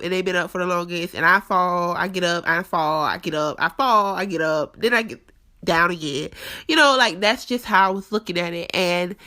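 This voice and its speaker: A woman's voice: fairly low pitch, bright, forceful tone. A young woman hosting a casual faith podcast from her apartment, a bit stuffed up, talking candidly and straight to her listeners. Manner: chatty, candid, addresses listeners directly. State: stuffed-up nose. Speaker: podcast host.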